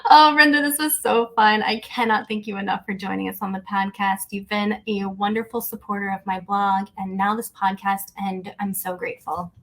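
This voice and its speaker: A woman with an average-pitched voice hosts a casual podcast. She speaks conversationally, in an agreeable, appreciative manner toward the person she is talking with. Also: steady tone, moderate pace, few pauses, fairly bright tone. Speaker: podcast host.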